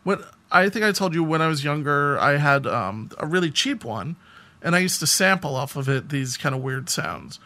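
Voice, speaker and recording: Higher-pitched American man chatting on a podcast. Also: expressive, moderate pace, pushed and bright. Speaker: podcast co-host. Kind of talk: casual podcast chat.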